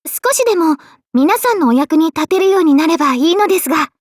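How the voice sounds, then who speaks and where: A woman voicing an earnest, polite young mage heroine in a Japanese video game, with a clear, youthful voice. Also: very high voice, lively intonation, fairly quick, dry recording, clean studio sound. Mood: polite, modest. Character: young girl mage, tomboyish, modest, earnest, later a solemn king. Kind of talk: Japanese game character voice lines.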